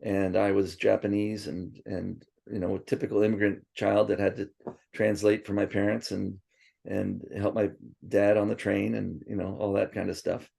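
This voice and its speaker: A man's voice: fairly low pitch, level tone, normal speed, gravelly, warm tone. A man being interviewed, reminiscing casually and rambling with frequent restarts and asides, who grew up speaking Swedish first. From born in Princeton, raised partly in Tokyo.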